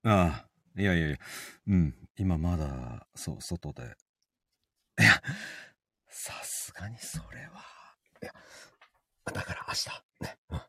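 A man acting in a Japanese voice drama as an evasive, apologetic boyfriend with a Kansai accent and a husky voice, stammering and hesitant. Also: low voice, sing-song, unhurried, long pauses, pushed and bright, no room sound, exaggerated voice. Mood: flustered. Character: evasive, apologetic boyfriend, busy office worker with a secret.

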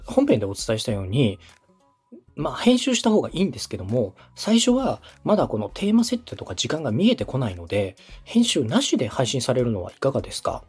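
A man's voice: mid-range voice, wildly swooping pitch, fairly quick, a little mellow, husky, faint music behind. A man from Osaka speaking as a podcast host, giving polite, organized step-by-step advice in a conversational way. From Osaka, Japan. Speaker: podcast host and radio director advising a beginner.

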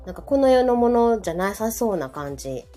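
A woman's voice: fairly high pitch, big pitch swings, fairly quick, dark tone. A middle-aged woman with a Kansai accent, talking chattily and informally as a podcast co-host. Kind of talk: casual chat on a live podcast. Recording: background music under the talk.

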